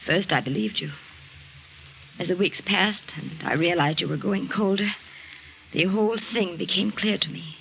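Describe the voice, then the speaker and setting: An actress playing an anguished, accusing wife in a dramatic, imploring 1940s radio drama style. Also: female voice, on the low side, normal speed, gravelly, long pauses, muffled recording. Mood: hurt, accusing. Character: anguished, accusing wife clinging to a failing marriage. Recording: noisy vintage radio drama recording.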